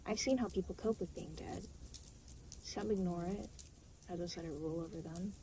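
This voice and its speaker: A woman's voice: lowish voice, moderate pace, a crowd in the background, lightly acted, low-quality recording. A woman playing an aloof, reluctant, weary demon guide in an intimate audio roleplay, speaking in a dry, matter-of-fact, hesitant way. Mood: guarded concern. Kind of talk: intimate scripted audio roleplay spoken to the listener.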